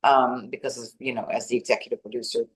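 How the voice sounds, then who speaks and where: A woman, a native Dutch speaker, answering interview questions about her acting work in a lively, chatty way with many restarts. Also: very deep voice, fast. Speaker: actress and executive producer. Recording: roomy echo.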